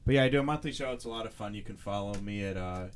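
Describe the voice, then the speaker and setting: Comedian guest, male, lowish voice, easygoing, light background noise. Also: rapid-fire. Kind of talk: casual chat.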